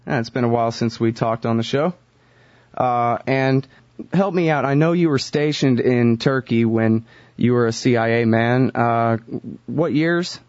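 A man's voice: medium pitch, measured pace, darkish tone, no room sound, muffled recording. A man hosting a radio interview, asking probing questions in a casual way with some fillers. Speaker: radio show host.

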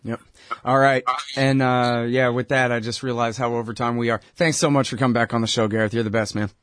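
A man hosting a talk show, chatting casually with guests in a loose, friendly way, with quick apologetic asides. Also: medium pitch, fairly even intonation, no room sound, studio quality. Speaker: radio show host. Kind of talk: casual interview chat.

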